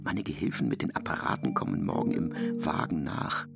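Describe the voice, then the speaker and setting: A man's voice: extremely low pitch, very animated, normal speed, vocal fry. A man narrating scripted literary prose in a radio drama. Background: music.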